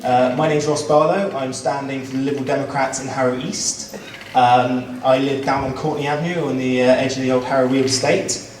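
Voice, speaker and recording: A man's voice: mid-range voice, level tone, darkish tone. Earnest man candidate in an echoey hall. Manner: earnest, measured, some fillers. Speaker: election candidate. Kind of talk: campaign speech at a debate. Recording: roomy hall, distant mic, faint noise.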